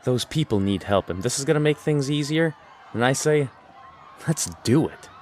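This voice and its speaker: A man's voice: medium pitch, lively intonation, moderate pace, a faint crowd, slight background noise, natural voice. A man playing a jittery, wry, enthusiastic teenage boy in an audio drama. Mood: resolved.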